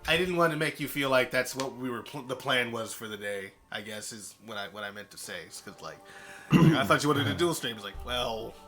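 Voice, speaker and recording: A man's voice: medium pitch, animated, a little fast, music in the background. Rambling man hosting a group voice chat. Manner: rambling, with fillers and self-corrections. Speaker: stream host. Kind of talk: casual group chat on a voice call. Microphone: voice chat microphone.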